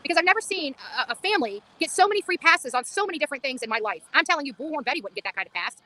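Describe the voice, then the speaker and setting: A woman's voice: high-pitched, animated, very fast, bright, forceful tone, no room sound. A woman livestreaming an impassioned, insistent monologue outdoors on a street, repeating phrases for emphasis.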